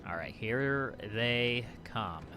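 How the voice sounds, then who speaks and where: A man's voice: medium pitch, animated, extremely slow, noisy background. A man giving casual let's play commentary on a video game, thinking aloud in a wry, resigned way. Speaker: gaming channel host.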